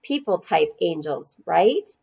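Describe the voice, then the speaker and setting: A woman reading a storybook as an upbeat, theatrical schoolteacher who addresses her class in a slow, drawn-out, emphatic way. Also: fairly low pitch, sing-song, gravelly, mellow, breathy edge, full character voice. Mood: coaxing.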